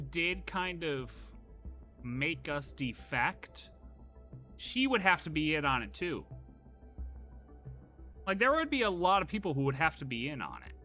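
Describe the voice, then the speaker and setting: Bright, forceful male game streamer. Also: sits high. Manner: speculative, rambling with repeated half-phrases. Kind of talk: casual live playthrough commentary.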